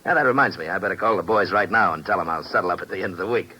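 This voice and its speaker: An actor playing a washed-up former football star turned gambling private eye, with an American accent and edgy, slangy bravado, in 1950s radio drama style. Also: male voice, low-pitched, rapid-fire, pushed and bright, slightly rough, exaggerated voice. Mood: false bravado. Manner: slangy, edgy tough talk. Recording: noisy vintage 1950s radio recording.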